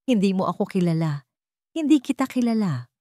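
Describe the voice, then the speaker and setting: A woman's voice: medium pitch, sing-song, fairly quick, mellow, no room sound, studio quality, lightly acted. A woman voicing a curt, impatient rich male CEO with a cold, businesslike manner in a radio drama story. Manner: clipped, cold, commanding.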